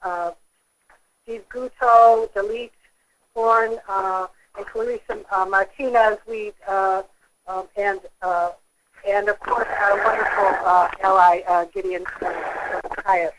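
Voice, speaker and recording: An American woman giving a prepared speech as an organization leader over a telephone conference line, with a halting, hesitant delivery. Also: medium pitch, steady tone, a little hiss or hum, poor audio.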